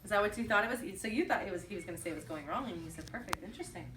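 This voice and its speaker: A woman's voice: medium pitch, lively intonation, racing. Woman reading aloud in an echoey room. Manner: prompting, repeats children's answers back. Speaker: teacher reading aloud to young children. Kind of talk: picture-book read-aloud with questions to children. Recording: roomy echo, distant mic, faint noise.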